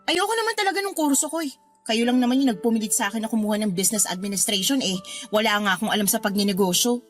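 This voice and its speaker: Actress as a snappy, high-pitched rebellious young woman. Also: animated, rapid-fire, soft background music, close to an everyday voice. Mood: defiant. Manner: snappy, back-talking. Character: defiant, spoiled college-age eldest daughter, pregnant. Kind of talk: Tagalog radio drama acting.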